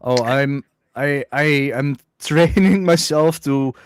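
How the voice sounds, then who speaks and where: Man, smooth monotone. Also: a little high, slow pace. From Netherlands. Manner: hesitant, many restarts, self-described droning monotone. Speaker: livestream host. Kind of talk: casual chat.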